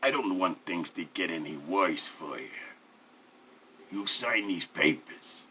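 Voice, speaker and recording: A man voicing a menacing mafia boss in a dramatic story reading, coaxing and quietly in control with slow, deliberate threats. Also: deep voice, expressive, a little hiss or hum, voice pushed down, exaggerated voice. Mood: quiet menace.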